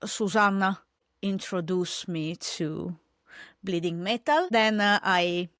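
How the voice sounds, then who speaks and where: Italian woman guest, non-native English, pauses and restarts, mid pitch, dry close sound. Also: fairly bright tone, dry recording. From Italy. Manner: halting, many fillers and restarts in English. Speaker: podcast guest. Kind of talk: casual interview chat.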